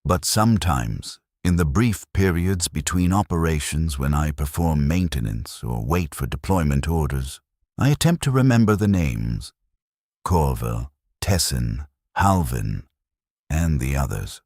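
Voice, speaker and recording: A war-numbed veteran heavy gunner played by a man tells his story in a rock-bottom, raspy voice. The delivery is grim and resigned, yet the pitch moves expressively through the deliberate dramatic narration. The sound is close and dry. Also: expressive, a little mellow, no echo, heavily acted. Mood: quietly haunted. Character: war-numbed veteran male heavy gunner, scarred, fatalistic, dystopian sci-fi soldier. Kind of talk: scripted first-person dramatic narration.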